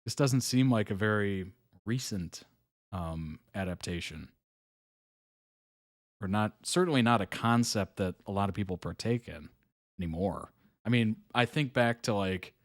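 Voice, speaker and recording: A man hosting a live reaction stream, chatting casually, reading chat messages aloud, with frequent ums and soft chuckles. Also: lowish voice, no echo, studio quality. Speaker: host reacting to videos live.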